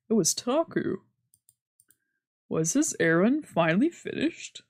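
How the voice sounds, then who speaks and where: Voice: mid-range voice, mellow, no room sound, clean studio sound. A streamer reading visual novel text aloud in a casual way, with sweary asides. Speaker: streamer doing a visual novel let's play. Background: game music.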